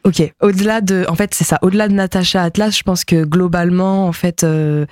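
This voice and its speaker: A musician raised near Nantes whose first language is Japanese, answering interview questions hesitantly with many fillers. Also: male voice, high voice, fairly even intonation, a little fast, a little mellow, no echo. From France, near Nantes. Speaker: musician guest.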